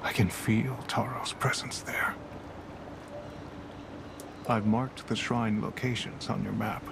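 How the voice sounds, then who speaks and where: An actor playing a gentle, sorrowful old village elder in a video game, speaking in a solemn, reflective, measured way with a gravelly voice. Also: male voice, lowish voice, fairly even intonation, whispered, exaggerated voice. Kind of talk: scripted video game cutscene acting. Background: music under the voice.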